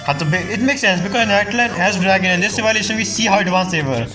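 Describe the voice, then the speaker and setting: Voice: mid-range voice, quick pace. A game streamer casually reacting to the story and reading dialogue choices aloud. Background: game music.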